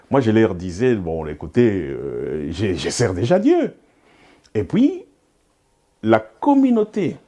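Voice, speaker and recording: A man's voice: lowish voice, dark tone. A man from Congo telling his personal story of being called to the ministry. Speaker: pastor. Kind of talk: personal testimony.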